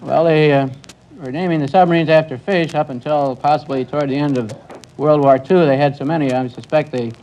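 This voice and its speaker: Polite higher-pitched male contestant, old broadcast. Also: steady tone, brisk, darkish tone, low-quality recording. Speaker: contestant, naval officer. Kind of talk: question-and-answer interview. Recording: old broadcast, faint background noise.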